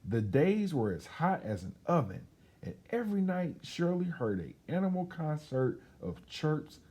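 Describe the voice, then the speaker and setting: A man reading a children's storybook aloud as a storyteller, in an expressive, chatty way with casual asides. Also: a little high, measured pace, breathy edge.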